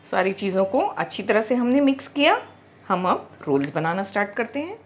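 A middle-aged woman talks through a home recipe, guiding the listener from one step to the next. Her voice rises and falls a lot, and she explains things patiently and clearly. She speaks into a small clip-on mic in a home kitchen. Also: mid-range voice, fairly quick. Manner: calm, instructive, gently explaining. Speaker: cooking channel host.

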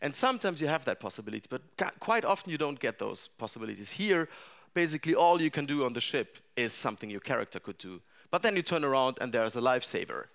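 A middle-aged man giving a talk about game design to a seated audience in a dark seminar room, thinking aloud with frequent restarts and fillers. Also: medium pitch, gravelly.

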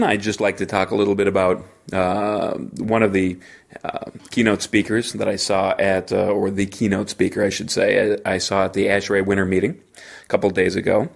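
A man's voice: on the low side, creaky. A man hosting a solo podcast from a hotel room, with a stuffy head cold and a rambling delivery full of fillers and restarts. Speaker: podcast host. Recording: slightly echoey hotel room.

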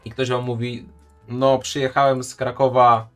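A man's voice: mid-range voice, flat delivery, moderate pace, fairly bright tone, faint music behind. A man live-streaming his reaction to a video, chatting and joking with his viewers. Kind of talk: casual live-stream commentary.

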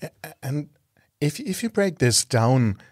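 A man's voice: fairly high pitch, very animated, darkish tone, breathy edge, dry recording. Hesitant male interviewer, dry close sound. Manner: hesitant, halting phrasing. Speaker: podcast host, interviewer. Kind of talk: conversational interview.

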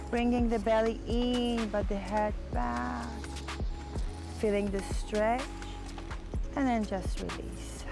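A woman teaching yoga, giving slow, soft step-by-step cues on breath and stretching, with a voice. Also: mid-range voice. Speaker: yoga instructor. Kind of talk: guided yoga instruction. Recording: voice over loud music.

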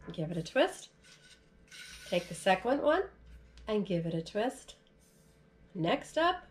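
A middle-aged woman with an American accent giving a casual demonstration in a chatty, folksy, informal way, as if for her own vlog. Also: medium pitch. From United States. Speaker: vlogger. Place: large furnished room at home, indoors.

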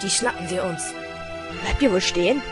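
A woman's voice: medium pitch, animated, normal speed, loud music behind the voice, natural voice. A woman playing a bossy castle guard in an amateur audio drama. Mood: commanding. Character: bossy castle guard soldier giving chase.

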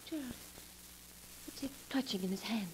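An actress playing an excitable, inquisitive woman, dramatic and questioning, in 1940s radio melodrama style. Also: on the high side, moderate pace, close to an everyday voice. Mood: horrified. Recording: hissy, noisy 1940s radio recording.

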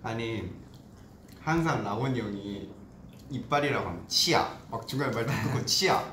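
A young man at a mid-range pitch, teasing and quick to laugh while he chats with his bandmates on a livestream. He sounds roomy, with the echo of a small bare room around him. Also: animated, a bit bright, a little hiss or hum. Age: young adult. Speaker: idol group member on livestream. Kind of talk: casual livestream chat. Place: small indoor room at a table.

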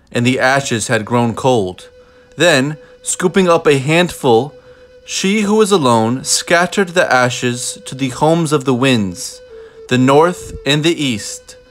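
A man's voice: mid-range voice, fairly bright tone. Male storybook narrator, music behind. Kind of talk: scripted read-aloud narration of a children's picture book.

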